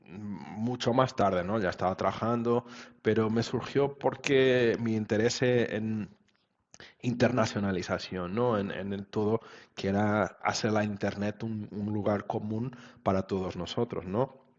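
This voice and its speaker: A dull, slightly muffled recording of a man with a low voice. He is a native Portuguese speaker giving casual interview answers. He speaks haltingly and often checks for agreement at the end of a phrase. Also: steady tone. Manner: halting, often seeks agreement with tag questions. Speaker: interview guest, web developer.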